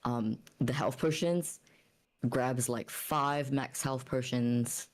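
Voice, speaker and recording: A woman playing a tabletop game, casually narrating her character's actions while thinking aloud in a halting way. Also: rumbling low, steady tone.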